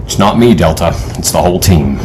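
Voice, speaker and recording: An actor playing a decisive, steady team leader in an audio adventure drama, measured and formal. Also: male voice, sits low, noisy background. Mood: focused.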